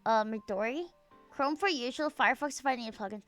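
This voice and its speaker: Bright-voiced young woman rambling on stream. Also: on the high side, a little fast, faint music behind. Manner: rambling, profane, filler-heavy, trails off mid-sentence. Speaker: VTuber streamer. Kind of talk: casual stream chat, reading chat aloud.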